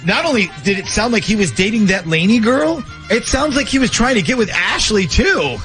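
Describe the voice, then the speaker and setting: A man hosting a radio morning show, bantering in a lively way around a prank call. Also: high voice, a little fast. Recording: loud music bed behind voice.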